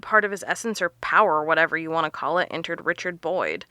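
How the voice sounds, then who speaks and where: An actress playing a sharp, confident woman researcher who explains things emphatically in an audio drama. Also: sits low, lively intonation, quick pace, no echo. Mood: explaining, intent.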